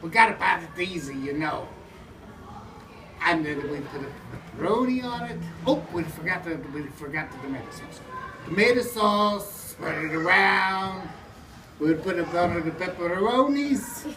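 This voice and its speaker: A woman's voice: lowish voice, expressive, unhurried. A woman playing a brusque, grumbling pizza maker in broken English for an improvised comic puppet show. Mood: busy muttering. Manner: broken English with dropped words. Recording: roomy, distant mic with background noise.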